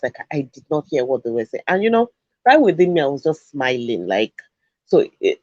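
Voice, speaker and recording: A man's voice: a little high, darkish tone, dry recording, muffled recording. A man, a Yoruba speaker, making earnest, emphatic points as an analyst on an education show. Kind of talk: studio discussion commentary.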